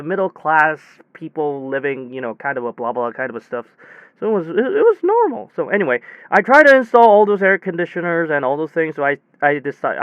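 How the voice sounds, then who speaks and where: A man acting out a casual, one-sided phone call, telling a story in a rambling, excitable way with hesitant repetitions. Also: a little high, clear, resonant tone, dry recording. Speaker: air conditioning technician character telling a story.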